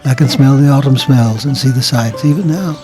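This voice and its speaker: A man's voice: mid-range voice, natural voice. Casting: male actor as frail, kindly old grandpa for audio drama, devout, nostalgic, tender storyteller, music underneath. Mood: fondly nostalgic. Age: older. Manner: tender, unhurried reminiscing. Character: sick, gentle old grandfather, pious and nostalgic. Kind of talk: full-cast audio drama acting.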